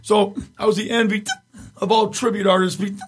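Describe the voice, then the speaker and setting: Raspy middle-aged man, high husky voice. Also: flat delivery, a little fast. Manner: rambling storyteller, many restarts, frequent chuckles. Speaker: guest, tribute singer. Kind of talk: casual interview chat.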